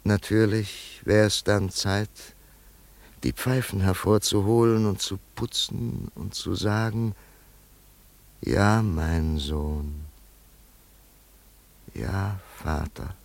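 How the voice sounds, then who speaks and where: An actor playing a wealthy, grieving older father in a German radio play, brooding and yearning in a halting monologue. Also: low-pitched, gravelly, heavily acted. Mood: wistful, brooding. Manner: slow, halting, brooding delivery with sudden swells.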